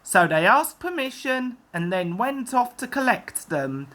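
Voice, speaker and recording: Bright, animated woman reading a story. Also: a little high, measured pace. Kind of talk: expressive children's story narration, read aloud.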